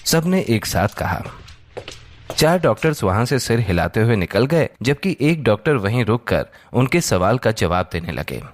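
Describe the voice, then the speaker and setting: A man's voice: medium pitch, sing-song, normal speed. A man reading a scripted audio story as its narrator.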